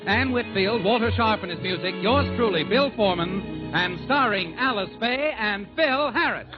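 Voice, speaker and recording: High, animated old-time radio announcer man. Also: moderate pace. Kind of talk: 1940s radio announcing, scripted. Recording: muffled old radio recording. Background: loud music.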